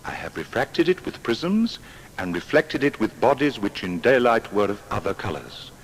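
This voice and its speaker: An actor playing a proud, exacting seventeenth-century English natural philosopher, reading his papers in formal, deliberate diction. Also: lowish voice, big pitch swings. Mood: measured, absorbed. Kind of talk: dramatised reading of period letters and papers. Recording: long hall reverb, faint background noise.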